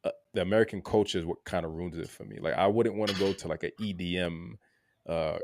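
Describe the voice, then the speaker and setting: A man co-hosting a podcast in a casual, reflective way, halting and repeating words, often checking in with "right?". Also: fairly even intonation, background noise. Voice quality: gravelly low voice. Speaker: podcast co-host.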